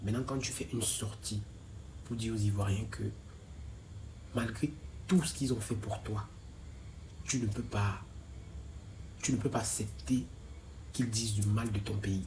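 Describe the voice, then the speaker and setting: A man with a lowish voice lectures in a measured, steady way. He stacks up rhetorical questions. A faint noise hangs behind him. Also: rough recording. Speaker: commentator replying to a video. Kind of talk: opinion reply video.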